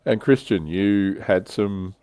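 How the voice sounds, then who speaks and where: A man's voice: low-pitched, takes it slowly, mellow, clear, resonant tone. A man, hosting a casual panel chat. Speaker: host.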